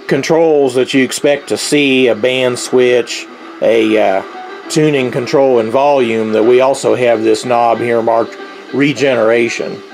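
Gravelly-voiced man, halting explainer. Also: mid-range voice, a little mellow, rough recording. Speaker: amateur radio hobbyist giving a demonstration. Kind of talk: unscripted product demonstration. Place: indoors, at a tabletop radio. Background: shortwave radio playing faintly.

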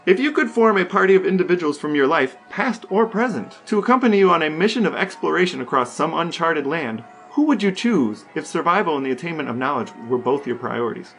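A man's voice: a little high, expressive, brisk, few pauses, a bit bright, soft background music. A man co-hosting a podcast, asking questions in a casual, conversational interview style. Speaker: podcast co-host.

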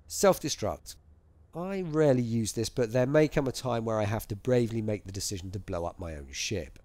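A man's voice: lowish voice, brisk, darkish tone, no room sound. A man presenting a space game feature in scripted promotional narration and spoken commands. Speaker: presenter and player giving commands. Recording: music behind the voice.

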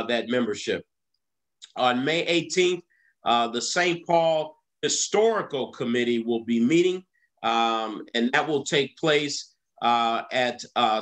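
A man's voice: mid-range voice, a bit bright. A middle-aged man leads his congregation through the weekly announcements as their pastor. He talks in a mid-range voice over a video call and stops often on "uh" and "um" as he works through dates and times. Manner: halting, many "uh" fillers. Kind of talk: church announcements.

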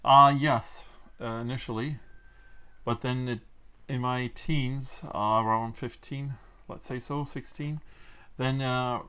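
A man in his forties, raised in Mexico, speaks English with a Mennonite Low German accent from a Low German first language. His voice sits at a middling pitch, and he talks hesitantly, full of fillers and restarts, in a casual interview. He is recorded indoors in a small wood-paneled room. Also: steady tone, takes it slowly, fairly bright tone, clear, resonant tone. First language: Plautdietsch. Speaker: guest.

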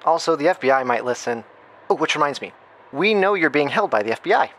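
A man's voice: a little high, lively intonation, fast, a bit bright. A man acting a chatty, flippant hacker friend leaving a recorded message in a horror audio drama. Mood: flustered, playful. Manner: rambling, flippant, self-interrupting.

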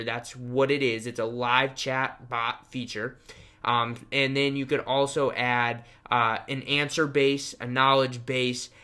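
A young adult man walks through an online tutorial. His voice is bright and forceful at an ordinary male pitch. He sounds upbeat and emphatic, pitching as he explains. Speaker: tutorial host and web design trainer. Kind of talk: casual screen-share tutorial.

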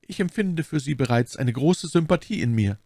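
A man's voice: medium pitch, animated, moderate pace, a little mellow, no room sound, voice pushed up. A man voicing a scheming noblewoman who feigns innocence in an audiobook, with polished, formal, artfully naive speech. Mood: sweet, eager. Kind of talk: audiobook character dialogue.

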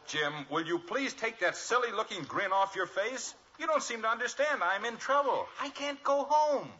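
An actor playing a flustered, henpecked middle-aged American neighbor in a 1950s radio sitcom. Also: male voice, extremely high pitch, lively intonation, moderate pace, pushed and bright, exaggerated voice. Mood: frantic. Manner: flustered, dramatic. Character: frantic, grateful henpecked husband next door. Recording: dull old radio recording.

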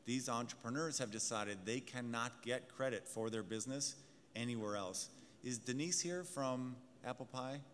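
A man's voice: medium pitch, moderate pace, fairly bright tone. Panelist, male, assured sales manner; table mic in a large hall with a faint hum behind. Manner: confident, salesy, addresses the audience. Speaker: fintech executive panelist. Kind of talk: conference panel talk. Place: large conference hall stage. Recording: hall reverb, faint room noise.

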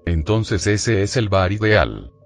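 Guy playing cop, deep voice, slow and swingy pitch, terse, gravelly, crime drama. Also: sing-song, faint music behind, heavily acted. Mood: businesslike. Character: terse, deep-voiced male police detective. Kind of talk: scripted machinima crime drama dialogue.

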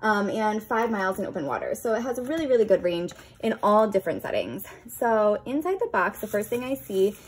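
A woman's voice: mid-range voice, lively intonation, brisk, hardly any pauses, husky, rough recording. A woman with a mid-range voice narrates a hands-on product demo. She explains things informally and chattily, like a casual reviewer walking viewers through what is in front of her.

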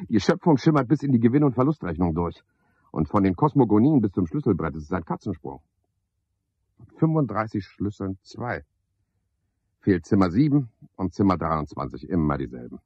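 Sardonic misanthropic night porter, husky low voice, pedantic self-talk, muttering and outbursts, 1970s radio play, dull dry recording. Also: male voice, sing-song, normal speed, pauses often, a little mellow, dry recording, exaggerated voice. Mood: brooding, muttering. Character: cynical, scheming, misanthropic male night porter, talking to himself.

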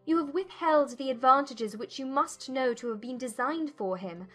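Indignant young period gentlewoman, played by a woman, high-pitched, formal and accusing, audiobook drama. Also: expressive, moderate pace, faint music behind. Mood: angry. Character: spirited, indignant young gentlewoman refusing a suitor.

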